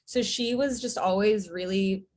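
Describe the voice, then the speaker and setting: A woman's voice: medium pitch, unhurried, a little mellow, slight background noise. A woman who writes and directs, chatting casually as a guest in an interview, telling her story in a rambling way. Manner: rambling, storytelling, frequent filler words.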